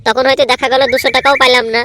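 A performer voicing a quarrelsome, excitable young lad in a comedy cartoon, arguing. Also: high voice, rapid-fire. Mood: scheming. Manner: argumentative, excitable. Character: scrappy, quarrelsome young gang and club lads. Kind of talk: Bangla comedy cartoon dubbing.

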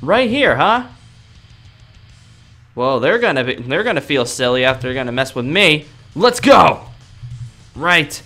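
A man's voice: a little high, sing-song, measured pace, long pauses, sharp tone, faint music behind, full character voice. A man playing a cocky, exasperated young anime fighter in a video game roleplay, grumbling to himself in slang. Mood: cocky, combative.

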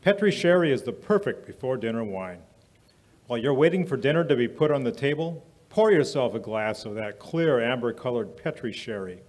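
A man playing an affable 1940s radio announcer and pitchman, theatrical and deliberate on a live stage. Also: animated, a little mellow, natural voice. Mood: smooth selling. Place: small stage in a museum, live performance. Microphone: vintage-style stand microphone.

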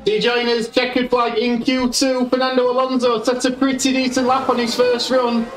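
A man's voice: very high voice, monotone, moderate pace, echoey room, recorded from a distance, over music, rough recording. A man with a Yorkshire accent, a gaming YouTuber giving chatty play-by-play of a racing management game. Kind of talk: game commentary.

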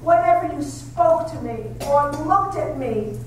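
A woman's voice: high-pitched, animated, unhurried. A woman playing a devoted pleading young wife. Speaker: amateur theater actor. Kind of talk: 1930s-style radio drama acting. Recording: distant echoey room with faint noise.

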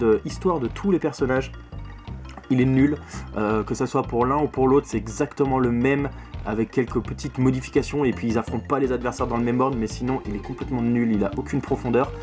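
A man's voice: mid-range voice, a little mellow. A man giving a casual video game review, opinionated and emphatic, often repeating words and swearing. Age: 20s. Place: small bedroom at home, indoors.

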